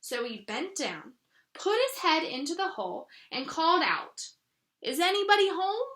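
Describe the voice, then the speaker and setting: Lady reading a kids' book out loud, high bright voice, echoey room. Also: measured pace. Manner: expressive, soft asides. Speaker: storybook reader. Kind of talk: reading a children's story aloud.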